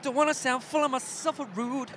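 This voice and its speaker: High-pitched, bright-voiced Yorkshire man. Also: a little fast, a little hiss or hum. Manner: colloquial, jokey, excitable. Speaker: football commentator and podcast host. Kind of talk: bantering live match commentary. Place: outdoors at a football ground.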